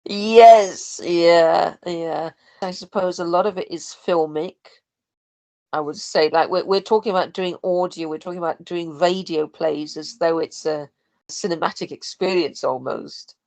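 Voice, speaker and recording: A woman's voice: fairly low pitch, fairly even intonation, unhurried, mellow, no room sound. A woman chatting casually and thoughtfully about her work on a podcast. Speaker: podcast guest, sound and music designer for audio dramas. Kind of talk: casual chat.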